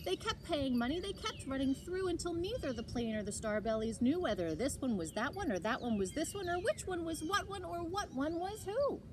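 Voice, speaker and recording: A woman storyteller reads aloud for children in an expressive, emphatic way. Her voice sits high and moves around in lively swings. It is a home recording with clear noise. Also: fairly quick, few pauses. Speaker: library storyteller. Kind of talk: animated children's read-aloud.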